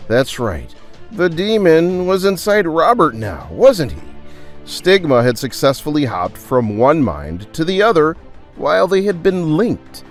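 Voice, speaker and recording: A man working as a storyteller, reading scripted dramatic narration with theatrical, emphatic pauses. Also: a little high.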